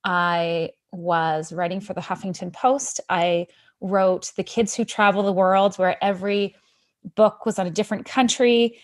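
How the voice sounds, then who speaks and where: A woman tells her story as a podcast guest, chatty and lively. Her voice sits in a middle pitch for a woman. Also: a little slow. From Canada. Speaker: guest, author and educator. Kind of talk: podcast interview.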